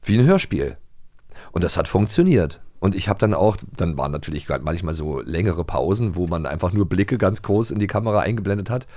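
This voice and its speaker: Male co-host, deeper register, swinging animated intonation, casual anecdotal chat. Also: fairly quick, no room sound. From East Germany. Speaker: podcast co-host.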